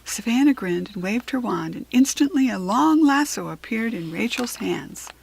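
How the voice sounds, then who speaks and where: A woman with an American accent reading a children's book aloud in expressive narration, with a breathy voice. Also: on the high side, moderate pace, poor audio.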